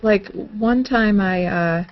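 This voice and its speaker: A woman's voice: mid-range voice, slow. A woman chatting casually as a participant in an online group call, in a conversational anecdotal style. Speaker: hangout participant. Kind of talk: casual chat, telling anecdotes. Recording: muffled, noisy video call with clicks.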